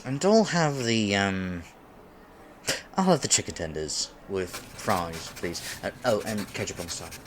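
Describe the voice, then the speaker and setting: Man acting flustered geeky guy, very swoopy pitch. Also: lively intonation, a little slow, slight background noise. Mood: nervous, flustered. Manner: flustered, stammering, self-deprecating. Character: geeky, awkward silver fox man on blind date. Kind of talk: roleplay audio drama acting.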